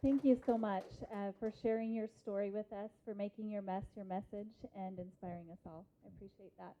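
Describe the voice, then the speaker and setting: A woman in her thirties with an American accent gives an interactive motivational talk in a conference hall, speaking emphatically with deliberate pauses. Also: medium pitch, fairly even intonation, slight background noise. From Idaho, United States. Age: 30s. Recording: muffled lo-fi live hall recording.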